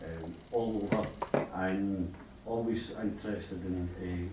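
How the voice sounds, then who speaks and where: A lower-voiced man speaks earnestly from the floor, pausing to find his words. He is heard from a distance. The room echoes, with a faint hiss beneath. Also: steady tone. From Scotland. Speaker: clan member speaking from the floor. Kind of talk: unscripted remarks at a meeting.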